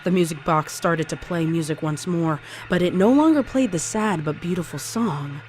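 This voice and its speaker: A woman's voice: fairly low pitch, normal speed, noisy background. A woman reading scripted horror fiction as a dramatic story narrator. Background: music under the voice.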